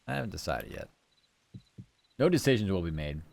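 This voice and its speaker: A man's voice: deep voice, sing-song, fairly quick, gravelly, a little mellow, no room sound. A man livestreaming gameplay, chatting casually with viewers in a cozy, easygoing voice, musing aloud and trailing through his thoughts. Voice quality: comfy, cozy tone.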